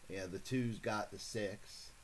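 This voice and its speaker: A man hosting a casual live stream and calling horse races, rambling and correcting himself as he goes, then getting excited at the finish. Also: on the low side, slow pace, slight background noise. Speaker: host calling horse races for a fantasy contest.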